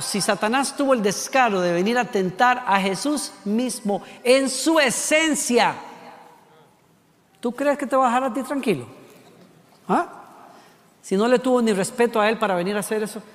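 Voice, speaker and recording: High-voiced Costa Rican preacher in a hall. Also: male voice. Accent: Costa Rican Spanish. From Costa Rica. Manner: animated, rhetorical questions, acts out voices. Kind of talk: sermon.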